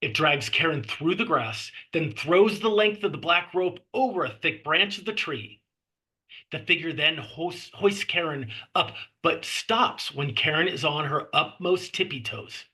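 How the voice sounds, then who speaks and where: Bright, forceful man reading stage directions. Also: a little high, lively intonation, measured pace. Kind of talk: scripted narration at a table read.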